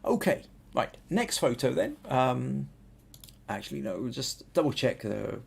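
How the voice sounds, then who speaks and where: A man's voice: medium pitch, big pitch swings, a little slow, vocal fry, slightly rough. A middle-aged man with a Southern English accent, hosting a photography podcast and thinking aloud with restarts as he critiques photos. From England. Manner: thoughtful, rambling with restarts and fillers. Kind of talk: live photo critique and chat.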